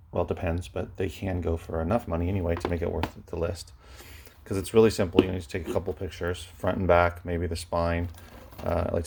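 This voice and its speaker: A man talking casually as an online reseller showing his sales, in a rambling, low-key, matter-of-fact way. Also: creaky. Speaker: online book and media reseller sharing recent sales. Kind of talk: casual vlog talk, showing items. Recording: noticeable background noise, clicks.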